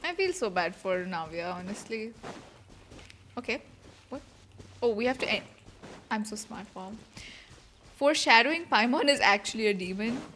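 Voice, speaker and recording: A woman's voice: on the high side, lively intonation, normal speed, pauses often, a bit bright, slight background noise. A woman streamer giving casual live reaction commentary on a video game.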